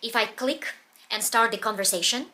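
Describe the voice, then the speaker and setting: A woman with a Russian accent, a native Russian speaker, talking casually about how she trains her pronunciation, pausing often to find her words. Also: fairly high pitch, pushed and bright, a little hiss or hum. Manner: earnest, pauses to search for words. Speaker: language learning vlogger.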